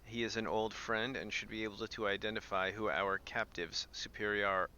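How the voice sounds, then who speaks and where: A man's voice: fairly low pitch, level tone, moderate pace, bright, forceful tone, highs cut off, a little hiss or hum. A man narrating his video game livestream and reading quest text aloud. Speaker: game streamer. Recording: band-limited sound, game music behind. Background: video game music.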